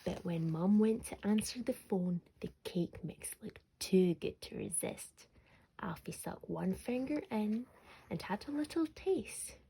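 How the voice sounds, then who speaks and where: A woman storyteller narrating a children's bedtime story expressively, sometimes dropping to a hush. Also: medium pitch, lively intonation, a little slow, creaky, husky, low-quality recording.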